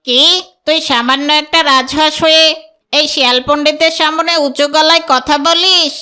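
A woman's voice: sits high, fairly even intonation, moderate pace, pushed and bright. A woman voicing a boastful, bullying fox in a children's cartoon, with a haughty, exclamatory delivery. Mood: menacing, haughty. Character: boastful, bullying fox who fancies himself a scholar. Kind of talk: Bengali children's cartoon voice acting.

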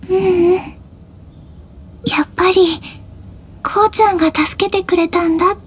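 An actress playing a frail, sweet, trusting teenage schoolgirl in an anime-style video game, soft, gentle and faltering. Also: very high-pitched, steady tone, normal speed. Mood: tender, relieved.

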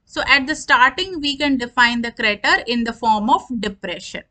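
Lady teacher doing an online lecture, high bright voice, repeats definitions carefully. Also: lively intonation. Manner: repetitive, deliberate explaining. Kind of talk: instructional lecture explaining technical terms.

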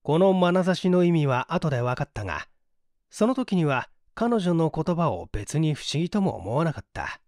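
A man's voice: fairly high pitch, big pitch swings, moderate pace, darkish tone, dry recording, clean studio sound. A man narrating a literary mystery story as a dramatic audiobook reader.

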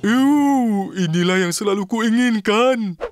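A man voicing an eager, impatient little cartoon fellow with childlike excitement in a children's cartoon dub. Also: sits high, takes it slowly, no room sound, soft background music. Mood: excited. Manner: excitable, exclamatory.

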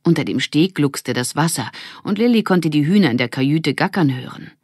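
Woman reading kids audiobook, expressive storyteller, dry sound no room. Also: sits low, fairly quick, husky, dry recording. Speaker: audiobook narrator. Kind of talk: scripted children's story narration.

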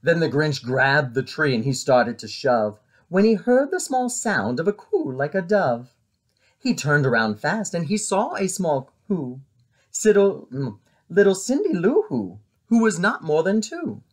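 A middle-aged man giving an expressive children's read-aloud, with dramatic, deliberate phrasing, recorded at home in a living room. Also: on the high side. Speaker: storybook reader.

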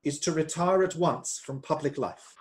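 An actor playing an honourable English gentleman politician, speaking formally and intensely in a radio play. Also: fairly high pitch, normal speed, lightly acted. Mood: resolved.

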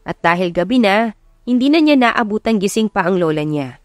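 A woman's voice: mid-range voice, expressive, normal speed, no echo, studio quality, soft background music. A woman with a Filipino accent reading scripted, dramatic story narration as an audiobook narrator. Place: close, dry recording with music behind.

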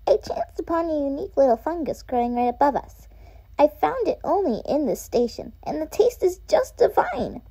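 A woman's voice: high-pitched, big pitch swings, moderate pace, creaky, dark tone, exaggerated voice. A woman voicing a dainty, refined creature from a video game, with a genteel, savoring manner. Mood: pleased, dreamy. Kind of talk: fan dub of video game dialogue.

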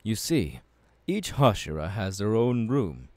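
A man's voice: lowish voice, sing-song, measured pace, no echo. A man playing a passionate, courteous young warrior mentor in an ASMR audio roleplay, speaking in an earnest, formal and encouraging way. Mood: reassuring.

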